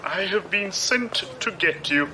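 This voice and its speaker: A man's voice: high-pitched, expressive, a little slow, noisy background. A man playing a courteous, loyal aide to a noblewoman in an audio drama, speaking formally, precisely and deferentially. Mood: formal, firm.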